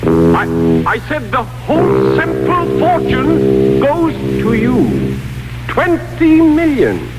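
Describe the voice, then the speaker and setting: An actor playing a flustered, hesitant messenger in 1930s radio comedy-drama, stammering and excitable. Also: male voice, extremely low pitch, slow pace, over loud music, exaggerated voice, low-quality recording. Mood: flustered, insistent. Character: flustered, hesitant messenger bringing astonishing inheritance news.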